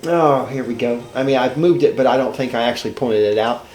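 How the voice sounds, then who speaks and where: A man's voice: medium pitch, brisk, darkish tone, reverberant, faint music behind. An older man with a head cold, sounding congested and stuffed up, giving a rambling, folksy gameplay walkthrough as a channel host. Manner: rambling, folksy, self-correcting. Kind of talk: casual gameplay walkthrough commentary.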